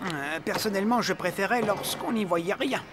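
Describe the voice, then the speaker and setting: High-voiced grumbling cartoon companion played by a man. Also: moderate pace, natural voice. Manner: complaining, exclamatory. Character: anxious, grumbling, sarcastic young bedouin companion. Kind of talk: children's animated adventure acting. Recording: loud music underneath.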